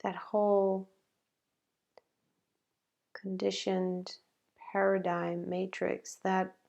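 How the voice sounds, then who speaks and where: A woman leading a guided meditation in a gentle, halting way, with long pauses between phrases. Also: medium pitch, level tone, vocal fry, dull audio.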